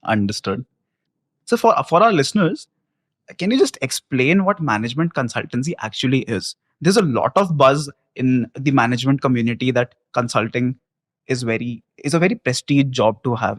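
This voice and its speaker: A man's voice: mid-range voice, lively intonation, brisk, no echo, clean studio sound. A man hosting a podcast, asking curious questions and giving short agreeing replies. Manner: curious, brief backchannels. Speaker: podcast host. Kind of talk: interview questions.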